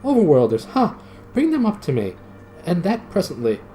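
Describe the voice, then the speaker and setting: A man's voice: high voice, big pitch swings, moderate pace, mellow, faint music behind, pitched above the performer's own voice. A man reading a storybook aloud, voicing a proud young male knight with commanding, old-fashioned speech. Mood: imperious.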